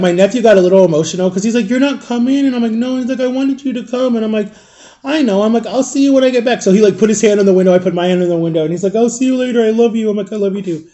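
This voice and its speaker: A man's voice: high-pitched, dark tone. A man in his thirties from Florida, telling a rambling storytime to his viewers and correcting himself as he goes. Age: 30s. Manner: rambling, self-correcting, chatty. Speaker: vlogger. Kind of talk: casual storytime chat to viewers.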